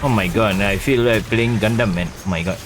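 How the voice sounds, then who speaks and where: A man's voice: fairly low pitch, a little fast. A man live streaming a video game, making casual off-the-cuff comments as he plays. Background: faint game music.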